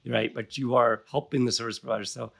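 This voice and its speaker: A man's voice: medium pitch, steady tone, fast, vocal fry, a bit bright, husky. A man hosting a podcast interview, upbeat and welcoming toward his guest. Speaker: podcast host.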